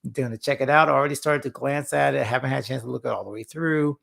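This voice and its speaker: A man hosting a casual livestream for faith-focused creatives, speaking earnestly and repeating words for emphasis, his voice slightly hoarse. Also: medium pitch, steady tone, fast talker, fairly bright tone. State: slightly hoarse after a long session. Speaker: host and founder of a faith-focused creative platform. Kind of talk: casual livestream talk to viewers.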